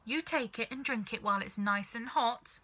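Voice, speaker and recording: A little boy from a children's storybook, played by a woman reader, sly and excitable. The voice is very bright and eager, with a sweet edge. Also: on the high side, moderate pace. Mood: falsely sweet.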